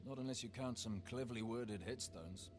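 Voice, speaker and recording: A man's voice: mid-range voice, moderate pace, a little hiss or hum, lightly acted. An actor playing a dutiful mercenary underling reporting to his boss. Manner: deferential, reporting. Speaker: mercenary digger.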